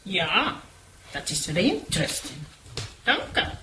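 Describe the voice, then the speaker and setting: Woman playing a scheming, animated female spymaster, noisy recording. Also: fairly low pitch, takes it slowly, full character voice. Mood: cold, scheming. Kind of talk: radio play acting. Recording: clear background noise.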